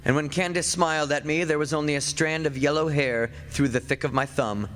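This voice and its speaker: A man's voice: a little high, fairly even intonation, fairly quick, a bit bright, lightly acted. An actor playing a weary, ageless man married to a witch in a radio play, wry and reflective, at times sheepish and evasive. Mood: wry, reminiscing. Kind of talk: radio play acting with first-person narration. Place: on stage. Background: music.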